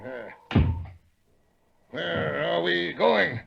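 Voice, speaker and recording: An actor playing a rich, cowardly town boss in a 1930s radio drama, blustering, nervous and pleading. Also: male voice, on the high side, animated, very slow, vocal fry, a bit bright, breathy edge, exaggerated voice. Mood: fearful, submissive. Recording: muffled, noisy old radio recording.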